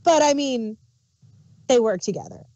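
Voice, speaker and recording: A woman's voice: on the high side, animated, unhurried, mellow, dry recording, muffled recording. A woman co-hosting a review show in casual, conversational talk. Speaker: podcast co-host reviewing audio dramas. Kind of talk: casual chat.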